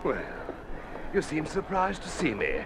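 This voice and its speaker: A bullying, sneering commissar in a 1950s radio drama. His high voice swoops dramatically as he gives imperious orders, through clear old-recording noise. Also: wildly swooping pitch, unhurried, full character voice. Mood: gloating, threatening. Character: bullying, menacing communist commissar, grown man.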